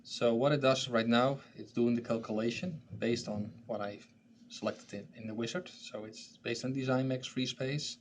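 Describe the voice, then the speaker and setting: A man hosting a training session, walking through a software tool in a conversational style. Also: mid-range voice, fairly even intonation, moderate pace, few pauses, noisy background. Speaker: training presenter and host.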